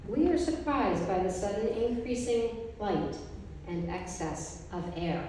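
Slow, mellow woman reading poetry. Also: mid-range voice, lively intonation, recorded from a distance, slight background noise. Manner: slow, measured recitation from paper pages. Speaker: poet reading a group poem. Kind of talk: poetry reading at a gallery event. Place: art gallery, indoors, mid-sized room. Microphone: handheld mic, filmed from a distance. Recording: echoey gallery room, from afar.

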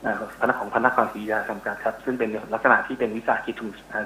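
Middle aged guy expert on a phone interview, sharp bright voice, explaining stuff, bit hesitant. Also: on the low side, level tone, racing, slight background noise, low-quality recording. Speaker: interview guest, university researcher. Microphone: telephone call.

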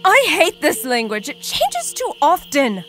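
A solemn huntress of great age, acted by a woman, speaks in formal, old-fashioned English. Her voice is fairly high and measured, over background music in an audio drama. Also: huge pitch swings, a bit bright, full character voice. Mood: proud, exasperated. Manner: formal, measured, archaic phrasing.

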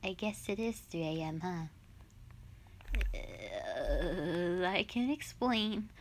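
A young woman playing a sulky, dramatic tsundere gamer girlfriend in an audio roleplay, with a whiny, pouty, drawn-out delivery. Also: fairly low pitch, lively intonation, slight background noise, full character voice. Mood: sheepish. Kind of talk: roleplay audio drama, intimate one-sided dialogue.

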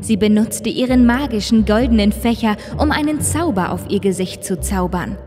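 A woman narrating a children's fairy-tale cartoon in a measured, clear way. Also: medium pitch, mellow, big-room sound, faint music behind.

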